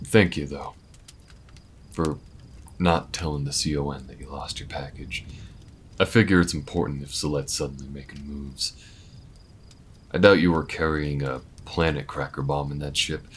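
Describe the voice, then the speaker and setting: A man playing an easygoing, weathered alien bounty hunter in an intimate audio roleplay, talking in a folksy, unhurried way with murmured asides. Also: very deep voice, husky, heavily acted. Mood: relaxed, friendly.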